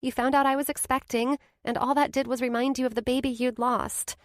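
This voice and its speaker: A woman voicing a bubbly young best friend who talks in a gentle, reassuring, lilting way. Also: high-pitched, little pitch movement, brisk, dry recording, clean studio sound, pitched above the performer's own voice, lightly acted. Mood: compassionate. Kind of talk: audiobook character voice.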